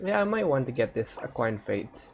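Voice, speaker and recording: Lively-pitched man casually commentating a game. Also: a little fast, a little hiss or hum. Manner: off-the-cuff, reacting in short phrases. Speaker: gaming video host.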